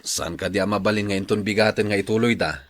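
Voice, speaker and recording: A man's voice: lowish voice, fairly even intonation, fast talker, fairly bright tone, natural voice. A man with an Ilocano accent playing a patient, agreeable husband and jeepney driver in a radio drama. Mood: placating.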